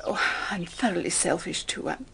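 A woman's voice: on the low side, moderate pace, gravelly, fairly bright tone, slightly rough, full character voice. An actress playing a middle-aged stepmother in a British radio drama, emotionally fragile yet brave, with a British accent. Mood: fragile, tearful.

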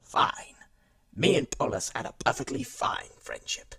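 A man as a gravelly, hot-tempered alien suspect. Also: a little high, wildly swooping pitch, measured pace, whispered, exaggerated voice. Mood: angry, defensive. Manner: indignant, defensive. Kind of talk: fan audio drama acting.

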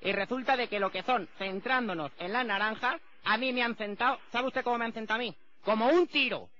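Shrill, prissy Spanish complainer. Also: male voice, a little fast, bright, forceful tone, a little hiss or hum. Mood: indignant complaint. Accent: Spain. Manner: pompous, wordy, repeating himself indignantly. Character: prissy, easily offended, high-voiced male customer complaining. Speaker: prank caller. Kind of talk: prank phone call in character. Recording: dull, lo-fi phone prank recording.

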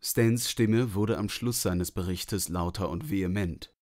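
Low deep guy narrating a novel, scripted audiobook read. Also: measured pace, a little mellow.

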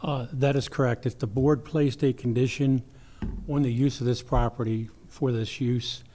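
A man's voice: mid-range voice, fairly even intonation, normal speed, mellow, a little hiss or hum. A man from the county's zoning office answering a board's question in a matter-of-fact way. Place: large hearing room, indoors.

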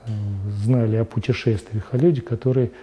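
Older man with a very dark voice. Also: mid-range voice, level tone, a little fast. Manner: thoughtful, hesitant, with fillers. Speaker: interviewee. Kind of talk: interview recollection. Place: studio set indoors. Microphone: lapel.

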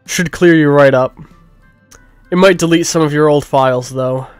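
A man doing a soft-spoken nerdy bear. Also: fairly high pitch, moderate pace, clean tone, no echo, soft background music, natural voice. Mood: explaining. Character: nerdy, calm young male bear.

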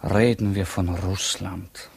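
A stubborn ironic prisoner in an old radio play, lowish voice, languid and defiant. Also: male voice, fairly even intonation, slow, creaky, darkish tone, husky, exaggerated voice. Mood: weary, defiant. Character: stubborn, wry prisoner denying his identity. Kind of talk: 1950s German radio drama acting.

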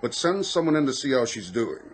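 A man's voice: mid-range voice, sing-song, fairly quick, a little hiss or hum, lightly acted, poor audio. Brusque male store boss played by an actor. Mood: annoyed, relenting. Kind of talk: radio drama acting.

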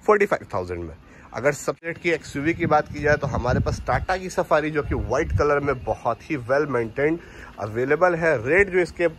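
A man with a fairly high voice runs through a dealer's stock in brisk sales patter, naming each car and its price. Faint outdoor sound sits behind him. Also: slight background noise. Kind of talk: promotional car listing narration.

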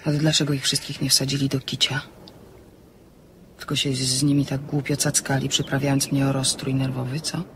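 An actress playing a stubborn, inquisitive amateur sleuth with a wry, ironic way of talking in a crime story. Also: female voice, very deep voice, little pitch movement, normal speed, noisy background. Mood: demanding, exasperated. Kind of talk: dramatized crime novel.

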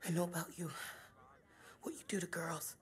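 An actress playing a frightened, wary young woman held captive in a video game, speaking in a hushed way. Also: on the low side, steady tone, moderate pace, lightly acted. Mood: fearful. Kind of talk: video game cutscene acting.

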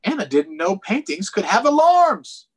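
A man's voice: sits high, very animated, measured pace, a little hiss or hum. A middle-aged man with an American accent hosting a children's storytime, reading aloud expressively with chatty explanations. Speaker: storytime host. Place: small room at home, indoors. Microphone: computer or tablet on a video call.

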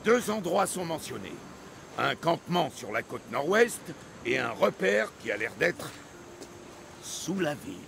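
An actor playing an excitable old Greek sailor and storyteller in a theatrical, expansive video game style. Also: male voice, on the high side, a little slow, vocal fry, slight background noise, heavily acted. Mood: animated, grave. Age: older. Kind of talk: dramatic video game acting.

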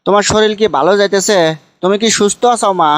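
Guy doing a sad pleading village son for a cartoon, high pitched, bogura accent, music under it. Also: fast talker, close to an everyday voice. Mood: tender, hurt. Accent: northern Bangladeshi (Bogura). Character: hardworking lonely migrant-worker son, pleading and wounded. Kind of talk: amateur comedy cartoon dubbing. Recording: music behind the voice.

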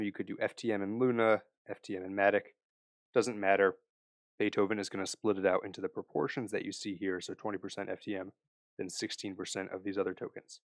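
A young man hosting a screen-recorded crypto tutorial, explaining each step in a relaxed way with frequent "uh"s and small restarts. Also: on the low side, no room sound, studio quality.

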